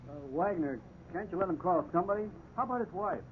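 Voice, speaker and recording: A man's voice: high voice, expressive, fast talker. An actor playing a young, fair-minded junior policeman with a hesitant, mild manner in a 1950s radio drama. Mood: cautious. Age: young adult. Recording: tinny, noisy old radio recording.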